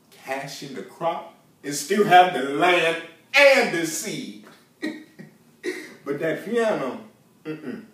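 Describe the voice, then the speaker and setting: A man plays a stubborn, scheming Southern farmer in a folksy stage monologue. He argues in a fairly high, very animated voice, stretching words for emphasis. The sound is heavily echoey. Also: full character voice. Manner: drawn-out emphatic words, hums and chuckles, argumentative. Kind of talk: theatrical monologue in folksy vernacular. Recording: very echoey room, distant microphone.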